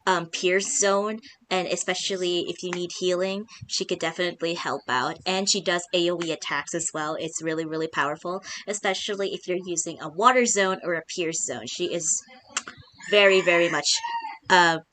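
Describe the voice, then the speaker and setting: A woman's voice: on the low side, steady tone, normal speed, a bit bright, a little hiss or hum. A woman with a Filipino accent hosting a casual chat, chatty and laughing often. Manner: giggly, chatty. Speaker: host.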